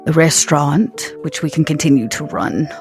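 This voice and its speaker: A woman voicing a scheming vampire businesswoman in a tabletop game, speaking formally and deliberately with measured pauses. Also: sits low, over music. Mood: measured, persuasive.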